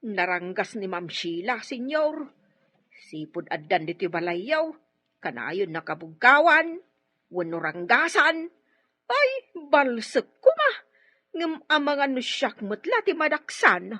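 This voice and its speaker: An actress with an Ilocano Filipino accent playing a flustered, long-suffering maid in a radio drama, given to wailing and grumbling asides. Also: female voice, fairly high pitch, sing-song, slow pace, pushed and bright, no echo, exaggerated voice. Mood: complaining, timid. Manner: exclaiming, wailing, muttering asides.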